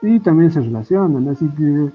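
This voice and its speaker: A man with a Bolivian accent talking casually as a podcast guest, halting and often ending phrases with a questioning tag. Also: on the high side, animated. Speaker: podcast guest, comic artist and illustrator. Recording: muffled lo-fi audio with music. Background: background music.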